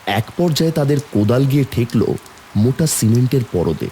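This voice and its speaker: A man's voice: mid-range voice, lively intonation, a little fast, dark tone, a little hiss or hum, close to an everyday voice. A man narrating a horror story in the first person as a somber young man, brooding and suspenseful. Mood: mournful, brooding. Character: somber young man recounting an eerie burial.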